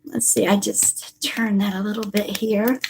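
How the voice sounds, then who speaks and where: A woman's voice: mid-range voice, a little mellow, a little hiss or hum, rough recording. A woman psychic greeting viewers one by one on a live stream, a little stuffy from a cold and calling people honey and sweetheart. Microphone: device on a table.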